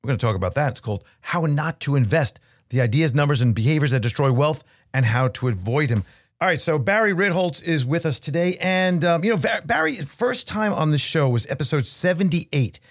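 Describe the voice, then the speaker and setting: A man's voice: fairly high pitch, animated, fairly quick. A man hosting a long-running interview podcast, talking in a friendly, rambling way with many restarts. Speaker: podcast host.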